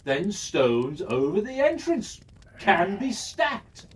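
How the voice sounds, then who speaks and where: A storyteller reading a rhyming fairy tale for children in a measured, sing-song cadence. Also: medium pitch, huge pitch swings. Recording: distant mic, faint hiss.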